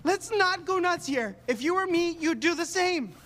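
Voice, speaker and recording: A man playing a cocky, scheming younger brother in a video game, excitable, boastful and prone to wheedling. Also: very high-pitched, normal speed, noisy background. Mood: defensive.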